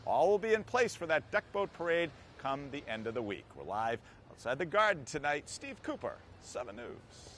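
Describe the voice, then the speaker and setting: A man working as a TV news reporter, reporting live and asking quick questions out in the field. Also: a little high, big pitch swings, a bit bright. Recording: outdoor traffic and ambient noise.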